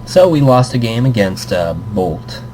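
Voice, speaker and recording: A man's voice: fairly low pitch, very animated, measured pace, warm tone, a little hiss or hum, low-quality recording. A man hosting a let's play, reading a visual novel aloud and commenting informally, stumbling here and there, with music behind. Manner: informal, self-deprecating, stumbles over reading. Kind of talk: story narration with casual commentary.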